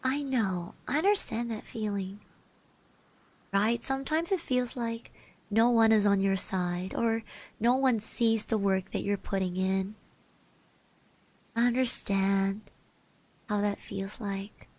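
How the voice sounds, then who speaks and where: A young woman voicing a shy, kind eighteen-year-old girl classmate in an ASMR roleplay, soft and gentle, hesitant and reassuring, close to the mic. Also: medium pitch, slow pace, long pauses. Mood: gently encouraging. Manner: hesitant, bashful, stammering, reassuring. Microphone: close microphone.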